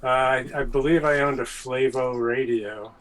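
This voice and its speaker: A man's voice: mid-range voice, fairly even intonation, normal speed, fairly bright tone, poor audio. A man speaking casually as a guest on a talk show. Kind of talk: casual chat. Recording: noticeable background noise.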